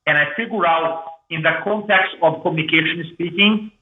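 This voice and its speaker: A man from Brazil with a thick Brazilian Portuguese accent, telling his story as an interview guest in an energetic, restarting way. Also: on the high side, moderate pace. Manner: energetic, repeats and restarts words. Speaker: guest, public speaking coach. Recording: echoey room over phone-like line.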